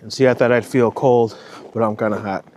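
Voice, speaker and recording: A man's voice: mid-range voice, steady tone, fairly quick, a little mellow, a little hiss or hum. A man vlogging casually on a night walk outdoors, rambling and swearing now and then, with a slight Minnesotan accent. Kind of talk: casual walking vlog.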